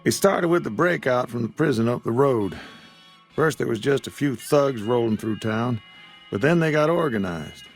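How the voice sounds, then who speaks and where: A man's voice: mid-range voice, animated, moderate pace, faint music behind. A man voicing a weary old frontier shopkeeper who talks in a drawl. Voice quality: gravelly drawl. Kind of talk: video game dialogue.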